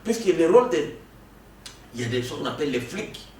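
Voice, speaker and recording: A man speaks with insistent, animated energy, often repeating and restarting words as he presses his point. His voice sits a little high and sounds distant from the microphone. The room echoes, and there is faint noise. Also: brisk. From Democratic Republic of the Congo. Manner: emphatic, animated, with frequent restarts and stammers. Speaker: political guest. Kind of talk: political interview.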